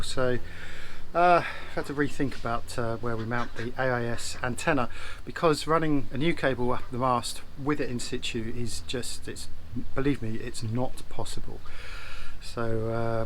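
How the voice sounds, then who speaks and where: Man, average pitch, casual vlog update, hesitant thinking aloud, blunt slang, noticeable ambient noise. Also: fairly bright tone, low-quality recording. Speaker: sailing vlogger and boat owner doing repairs. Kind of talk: casual unscripted update to camera. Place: on board a boat. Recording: clear ambient background noise on boat.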